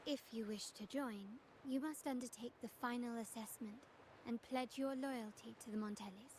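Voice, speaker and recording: A poised young heiress played by an actress with a fairly high voice. She speaks formally and deliberately, like someone calculating each word in a scripted game scene. There is some hiss in the recording. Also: darkish tone, natural voice. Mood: formal, composed.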